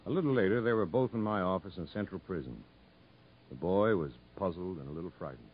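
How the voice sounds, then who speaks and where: An actor playing a grave but kindly prison captain, measured and straightforward, in a 1950s radio drama. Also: male voice, deep voice, breathy edge. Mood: reflective. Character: grave, frank prison captain recalling a story, kindly toward children. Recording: muffled 1950s radio recording.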